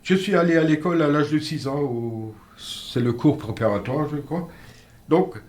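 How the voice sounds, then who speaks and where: An older man with a fairly high voice tells old village tales in a measured, earnest way, stopping and restarting now and then. He grew up speaking a regional dialect and is being interviewed in a radio studio. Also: darkish tone. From Moselle, France. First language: Alsatian-Lorraine Franconian dialect. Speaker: interview guest. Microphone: studio microphone.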